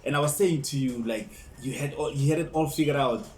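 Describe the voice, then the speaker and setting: Male co-host, halting interviewer, mid voice, faint noise. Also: mid-range voice, rough recording. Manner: hesitant, repeats words while asking. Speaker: podcast co-host. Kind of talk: casual interview questions.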